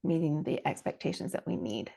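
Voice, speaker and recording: A woman's voice: low voice, expressive, brisk, vocal fry, dark tone. A woman giving conversational advice as a panel guest and coach, in an earnest, reflective way.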